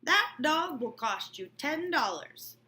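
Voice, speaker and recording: A gray old street hawker as a woman reading a storybook plays him pitches in a folksy New York accent. The voice rides up high and swings widely as he haggles, over audible room noise. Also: very animated, takes it slowly, pushed and bright, echoey room, exaggerated voice. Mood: eager selling. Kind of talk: acted dialogue in a read-aloud novel.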